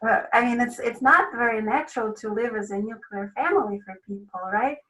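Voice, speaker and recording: A woman's voice: fairly high pitch, a little fast, bright, forceful tone, distant mic, poor audio. Woman, thoughtful and hedging. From Russia. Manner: thoughtful, hedging, frequent ums and restarts. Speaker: sleep consultant guest. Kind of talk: casual conversational interview.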